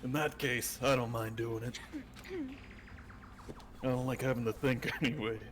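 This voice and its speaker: A man's voice: medium pitch, fairly even intonation, normal speed, fairly bright tone, soft background music. A man in casual, sweary banter with friends while reading game dialogue aloud.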